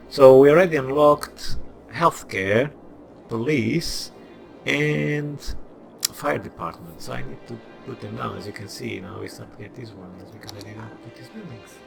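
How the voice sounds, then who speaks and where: A man's voice: mid-range voice, gravelly. A young man with a Portuguese accent, casually thinking aloud and rambling while he plays a city-building game into a desk microphone. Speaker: gameplay video creator. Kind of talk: casual gameplay commentary. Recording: loud background music.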